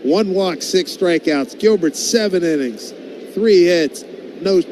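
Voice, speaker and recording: A man's voice: high-pitched, slow pace. A man doing live radio baseball play-by-play as the announcer, in colloquial, clipped phrases. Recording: loud ballpark background noise. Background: outdoor stadium ambience.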